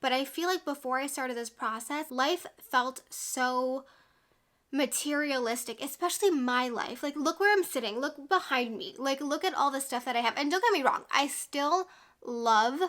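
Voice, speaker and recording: A woman's voice: high voice, normal speed, fairly bright tone. A woman in her late twenties chatting candidly to her viewers as a YouTube vlogger, a little congested from a cold and full of "like" fillers. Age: 20s. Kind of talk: casual sit-down chat to camera.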